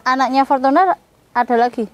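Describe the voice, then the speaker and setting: High-voiced woman asking short questions. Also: a little fast. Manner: curious, asks short questions. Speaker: off-camera companion. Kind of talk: casual chat.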